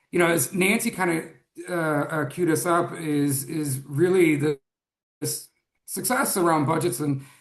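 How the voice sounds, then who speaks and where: A man presenting an education webinar, a little hoarse, earnest, with frequent ums and restarts, speaking over a video call. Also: on the high side, roomy sound.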